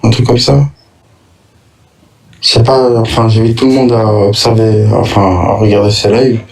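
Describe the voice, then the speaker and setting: A man's voice: lowish voice, little pitch movement, moderate pace, darkish tone, recorded from a distance, poor audio. A man calling into a live stream, speaking in a casual, friendly way with lots of hesitation. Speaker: caller. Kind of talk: casual chat.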